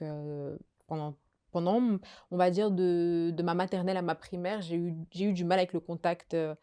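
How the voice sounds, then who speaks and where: A woman's voice: on the low side, warm tone, smooth. A young woman from Belgium, a guest telling her life story in casual conversation, hesitant and full of fillers and restarts. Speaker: guest sharing her testimony.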